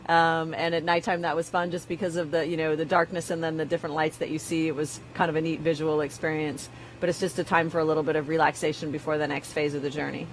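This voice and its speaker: A woman as a guest commentator on a broadcast, talking in a casual, relaxed way with some fillers. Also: sits low, fast, a little hiss or hum, rough recording. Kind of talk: broadcast conversation.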